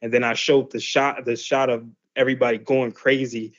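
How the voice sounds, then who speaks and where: A man's voice: medium pitch, flat delivery, normal speed, fairly bright tone, lo-fi sound. A man teaching a class in a loose, conversational way, full of fillers and restarts. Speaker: class instructor. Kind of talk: casual explanatory lesson.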